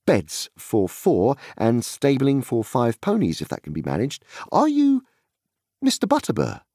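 A man's voice: medium pitch, wildly swooping pitch, a little slow, mellow, no room sound, clean studio sound, voice pushed up, exaggerated voice. A man voicing a courteous, hesitant hobbit traveller in a fantasy audiobook. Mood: polite, cautious.

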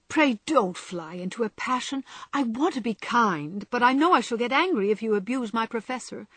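A woman reading aloud, voicing an earnest young woman who gently but firmly refuses a suitor in a storybook. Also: on the high side, husky, dry recording, voice pushed up. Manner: earnest, remorseful. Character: spirited young woman, kind but firm.

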